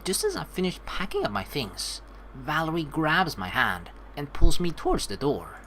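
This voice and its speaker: Low-voiced, animated woman doing a let's play. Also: normal speed, slight background noise. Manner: playful, joking. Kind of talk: casual game commentary and reading text aloud. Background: game music.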